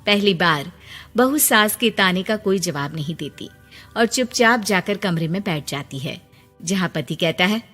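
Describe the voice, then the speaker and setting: A woman's voice: fairly low pitch, expressive, measured pace, fairly bright tone. A woman reading a scripted moral story as its narrator. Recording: long reverb, music bed behind. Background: background music.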